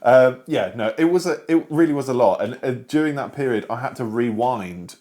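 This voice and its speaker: Male co-host, chatty and animated, jokey, mid-pitched voice. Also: fairly quick. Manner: animated, jokey, acts out imagined dialogue. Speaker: show co-host. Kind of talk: casual chat about books.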